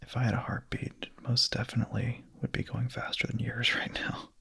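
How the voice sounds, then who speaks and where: Whispering young vampire played by a man, breathy and intimate. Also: mid-range voice, flat delivery, exaggerated voice. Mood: soothing, nervous. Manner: soft, halting, intimate. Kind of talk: intimate ASMR roleplay.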